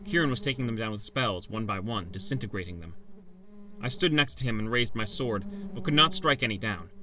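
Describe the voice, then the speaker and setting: A man's voice: on the low side, expressive, brisk. A man narrating a first-person fantasy audiobook as a scripted story. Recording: loud music bed.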